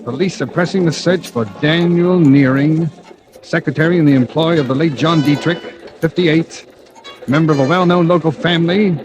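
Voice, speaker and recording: A man's voice: fairly high pitch, close to an everyday voice, low-quality recording. An actor playing a desperate amnesiac man wanted for murder in a 1940s radio thriller, urgent and insistent, on an old radio recording. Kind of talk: 1940s radio thriller acting with first-person narration.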